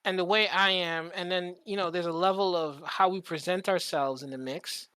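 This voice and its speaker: A man's voice: high voice, steady tone, normal speed, sharp tone, smooth, no room sound. Candid man chatting, close dry sound. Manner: rambling, candid, freely swearing. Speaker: podcast co-host. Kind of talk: casual chat.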